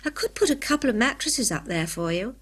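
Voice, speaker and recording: Woman as female cook, storybook, practical, harried, brisk, fussing, lively pitch. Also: husky. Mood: harried, doubtful. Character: harried household cook, practical woman, scolding but kindly.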